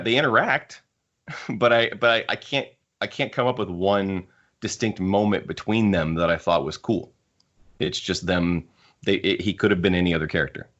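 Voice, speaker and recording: A man with a very low voice hosts a casual book discussion through slightly muffled, lo-fi audio. He talks in a halting way, often repeating and rewording his phrases. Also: fairly bright tone. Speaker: podcast host.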